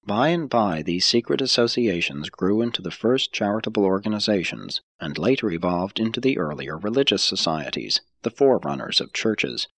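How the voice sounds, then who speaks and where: Low, husky male audiobook narrator. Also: animated, normal speed, few pauses, fairly bright tone, no room sound. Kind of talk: scripted narration.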